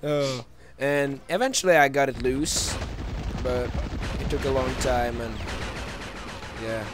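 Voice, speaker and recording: A young man telling anecdotes in casual chat, halting and jokey. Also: medium pitch, background noise. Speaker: co-host of a casual gaming video.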